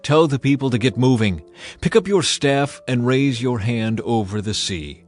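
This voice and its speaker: A man's voice: mid-range voice, expressive, moderate pace, close to an everyday voice. A stern, sovereign God, read by a male narrator in dramatized scripture audio. The delivery is declarative and authoritative in a medium register, with music underneath. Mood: stern, commanding.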